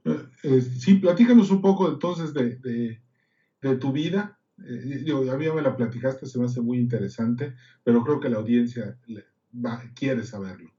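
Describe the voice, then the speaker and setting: A man's voice: medium pitch, moderate pace, dark tone. A man, hosting a casual interview, talking in a reflective way with small restarts. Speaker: host. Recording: dull lo-fi audio.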